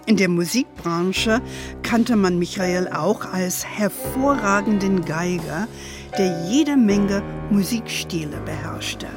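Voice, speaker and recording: A woman's voice: medium pitch, slow. A woman narrating a German children's radio play in a storytelling style. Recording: music under the voice.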